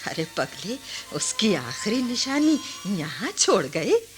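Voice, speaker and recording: A woman's voice: mid-range voice, very animated, slow, music in the background. A woman playing a compassionate, chiding old village grandmother in a Hindi radio drama. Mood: wistful questioning. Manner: emphatic, repeating, chiding.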